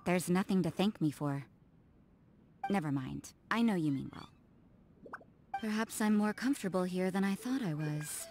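Low, languid, aloof game nun. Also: female voice, animated, moderate pace, long pauses, a little mellow. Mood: aloof, wry. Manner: languid, dry, detached. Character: cool, aloof, world-weary young nun, blunt and private. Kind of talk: English video game character voice lines. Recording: game music under the voice.

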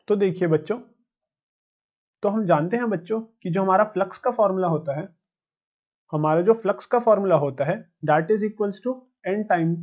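Slow, high-pitched young Indian man teaching. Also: a little mellow. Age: 20s. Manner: methodical, repeats phrases. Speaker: physics teacher. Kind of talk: step-by-step tutorial explanation. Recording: dull, muffled lo-fi sound.

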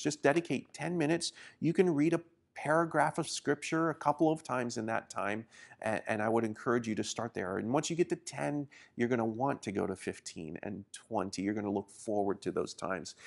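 A man's voice: medium pitch, vocal fry. A man in his forties who grew up in Indonesia, teaching a sermon to camera in an earnest, encouraging way, often restarting his words. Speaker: pastor. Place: small studio set.

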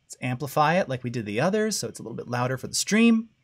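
Middle-aged male host with a low voice, relaxed live-stream tutorial delivery, close studio mic. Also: fast talker. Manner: casual, checks in often with "all right?" and "okay?". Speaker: audio masterclass host. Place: home studio with dim blue lighting.

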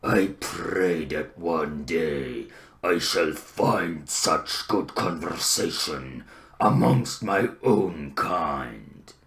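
A man voicing a brooding, eloquent, bitter troll king for fantasy game lines, gravelly and theatrical. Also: animated, fairly bright tone, heavily acted. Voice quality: deep, gravelly. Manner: slow, theatrical, grandiloquent.

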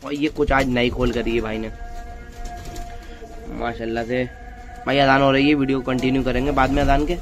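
A man's voice: mid-range voice, little pitch movement, moderate pace, long pauses, music in the background. Chatty man filming pigeons outdoors. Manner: chatty, addresses viewers as friends. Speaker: pigeon-keeping vlogger. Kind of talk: casual show-and-tell narration. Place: open-air courtyard full of pigeons. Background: pigeons cooing and fluttering.

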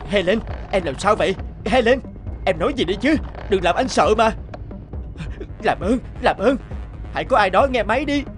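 Anxious, devoted young husband, higher-pitched. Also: male voice, lively intonation, normal speed. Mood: panicked, pleading. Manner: emotional, urgent, pleading. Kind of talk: dubbed cartoon drama acting. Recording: music behind the voice.

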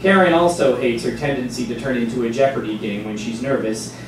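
A man's voice: medium pitch, brisk. A man reading scripted dramatic narration on stage. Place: small stage before a seated audience. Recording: distant mic, very echoey hall, noisy.

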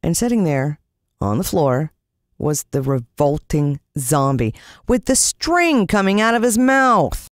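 An American woman narrating a scripted children's adventure story in an expressive style. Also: low voice, unhurried, dry recording, clean studio sound. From United States. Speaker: audiobook narrator.

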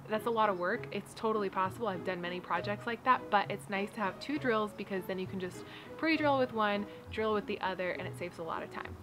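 A woman in her thirties giving a chatty, upbeat how-to talk to camera, seated outdoors in a backyard garden. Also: mid-range voice. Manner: chatty and upbeat, laughs often, fillers like "like" and "you know". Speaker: homestead building vlogger. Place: backyard garden outdoors by wooden raised beds. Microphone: camera framed on her seated, medium shot.

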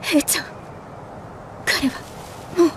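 A woman's voice: extremely slow, background noise. An actress playing an earnest, dutiful young female soldier in an anime game, addressing a superior with deference. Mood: sorrowful. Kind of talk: Japanese anime game voice acting.

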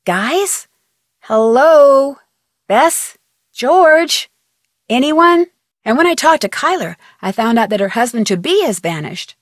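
A young American girl detective in a mystery video game. Her voice is on the high side and recorded dry and close. She talks in a chatty, curious, level-headed way, with clear diction and a wry edge. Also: animated, takes it slowly, no room sound. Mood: calling out. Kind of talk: video game voice acting, conversational phone-call dialogue.

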